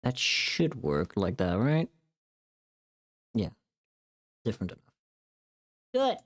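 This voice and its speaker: A woman livestreamer chatting casually as she works, in a halting way, murmuring to herself between remarks. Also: rumbling low, wildly swooping pitch. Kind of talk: casual chat while working on a livestream.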